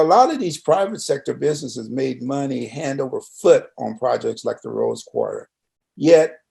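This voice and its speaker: A man's voice: mid-range voice, creaky, mellow. An American man hosting a conversational radio interview, with a folksy, roundabout, questioning way of talking.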